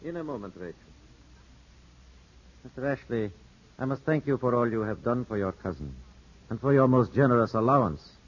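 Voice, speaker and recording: A man playing a suave, sly gentleman in a 1950s radio drama, courtly, measured and insinuating. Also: medium pitch, expressive, close to an everyday voice. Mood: courteous, insinuating. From Italy. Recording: dull 1950s radio broadcast.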